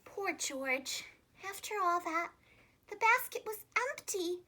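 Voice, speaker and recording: A woman reading a children's storybook aloud in an expressive, carefully enunciated preschool-teacher style. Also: extremely high pitch, slow pace.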